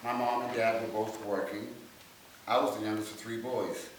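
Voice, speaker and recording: A man's voice: fairly low pitch, flat delivery, moderate pace. A man giving a rehearsed dramatic reading of his life story as a stage monologue. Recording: very echoey room, distant mic, faint hiss.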